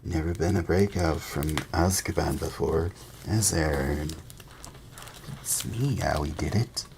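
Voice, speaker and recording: A man voicing a chatty young male bus conductor, loud and eager in his gossip. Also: very deep voice, vocal fry, background noise, exaggerated voice. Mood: gossipy. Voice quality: loud, eager, talks in rapid bursts.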